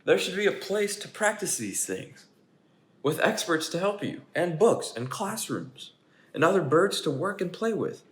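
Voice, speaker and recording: A man's voice: sits high, very animated, measured pace, voice pushed up. A man voicing an anxious, dramatic, know-it-all pigeon from a children's storybook, fretful and full of questions. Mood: excited, exclaiming. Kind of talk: children's storybook character reading. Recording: echoey room, faint background noise.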